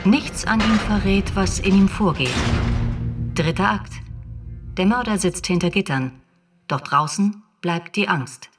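Solemn female announcer, husky, mid-pitched, scripted radio drama, faint music. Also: a little slow.

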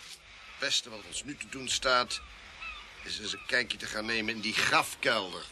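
An actor playing a stiff, indignant police inspector who pulls rank pompously in a radio play. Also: male voice, medium pitch, lively intonation, a little slow, background noise, lightly acted. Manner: pompous, clipped formality.

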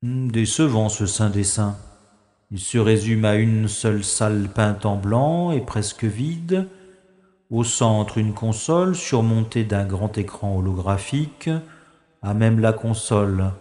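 Man as slow, echoing, wry space agent. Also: fairly low pitch, dark tone. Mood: unimpressed. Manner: measured, musing, formal. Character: wry, self-assured male secret agent investigating in space. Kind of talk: science-fiction audio drama, inner monologue and dialogue.

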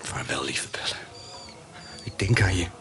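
Heartbroken devoted guy in a radio drama, low hushed tender voice, music behind him. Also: a little slow, in a whisper, exaggerated voice. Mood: tender, grieving. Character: grieving, devoted lover of a condemned woman.

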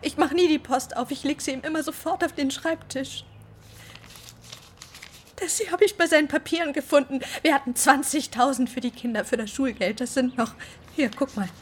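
An actress playing a distraught mother in a radio drama who speaks in a tense, hushed, halting way. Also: high voice, a little hiss or hum, close to an everyday voice. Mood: shaken. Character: distraught mother, whispering in shock.

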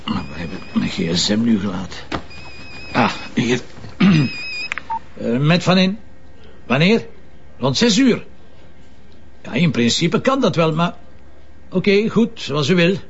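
An actor with a Flemish accent playing a wary police commissioner in a naturalistic radio drama, dry and colloquial. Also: male voice, on the high side, big pitch swings, measured pace, long pauses, a little hiss or hum. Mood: brisk, bemused. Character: male police commissioner, wary and dryly polite. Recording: dull, band-limited radio drama recording.

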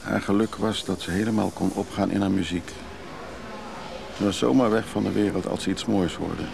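An actor playing a restless, evasive recovering drinker in a radio play, hesitant and confiding. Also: male voice, sits low, steady tone, moderate pace, vocal fry, crowd noise. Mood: wistful. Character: restless recovering drinker, evasive man who craves freedom. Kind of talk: naturalistic Dutch radio drama acting.

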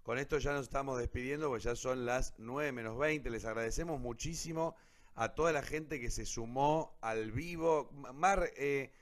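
A man's voice: medium pitch, moderate pace. A man with a Rioplatense Argentine accent hosting a live radio show in a casual, informal, grateful way.

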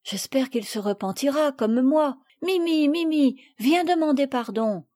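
A once-naughty little girl, now repentant, speaking in a rueful, high childlike voice, as a woman reader acts her out. The recording is close and dry. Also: animated, a little slow, dark tone, no room sound.

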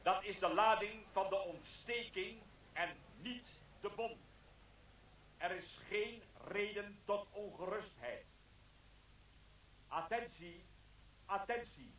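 A man playing a police announcer who reads out a calm public warning over a loudspeaker. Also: high-pitched, a little hiss or hum, full character voice. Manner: slow, measured public announcement. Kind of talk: radio drama acting. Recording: distant, echoey loudspeaker sound.

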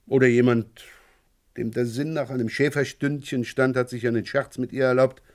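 An actor playing a wily police inspector in a German radio crime play, probing with hesitant, feigned casualness. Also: male voice, medium pitch, steady tone, moderate pace, a little mellow, natural voice. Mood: speculating. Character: wily male police inspector questioning a neighbour of a murder victim.

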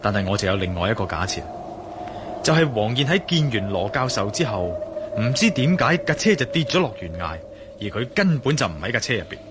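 An actor playing an inquisitive, bold male adventurer in a radio drama, with a Hong Kong Cantonese accent and a deliberate, pondering manner. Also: mid-range voice, very animated, background noise, close to an everyday voice. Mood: pondering, determined. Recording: radio drama with clear music behind.

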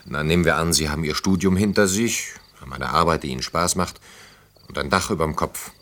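A disillusioned man of about thirty in a German radio play. His voice sits deep and low, with a dry, ironic delivery that teases gently and muses sceptically. The style is conversational and naturalistic. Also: fairly quick. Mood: sardonic musing.

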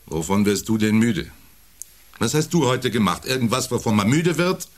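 An actor playing a domineering, self-important husband around forty who needles and belittles in an intimate German radio play. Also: male voice, medium pitch, expressive, moderate pace, close to an everyday voice. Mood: needling, jealous. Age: 40s. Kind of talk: intimate radio drama dialogue.

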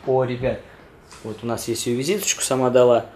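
Male vlogger, under the weather, hesitant casual delivery, mid pitch, faint noise behind. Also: steady tone, a little fast, darkish tone. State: ill, voice affected by a cold. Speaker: video blogger addressing viewers. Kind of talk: casual chat to camera.

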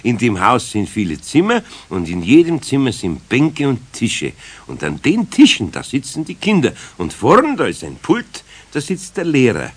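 Husky older Bavarian carpenter, good-natured, grumbly, very expressive pitch, children's radio play. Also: male voice, normal speed. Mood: patiently explaining. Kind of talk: 1970s radio play acting.

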